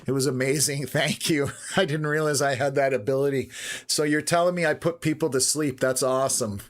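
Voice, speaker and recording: A laid-back man hosting a weather livestream, talking to his viewers in an unhurried way. His pitch sits in a typical middle range for a man. He uses plenty of filler words as he thinks out loud. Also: fairly even intonation. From Canada. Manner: unhurried, plain-spoken, many filler words. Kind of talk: casual livestream chat answering viewer questions.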